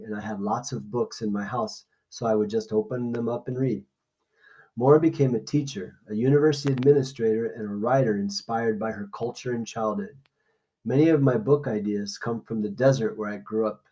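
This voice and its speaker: A man's voice: medium pitch, mellow, low-quality recording. A man teaching an informal lesson, reading aloud and explaining things in a plain instructional way. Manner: explanatory, checks understanding with short questions.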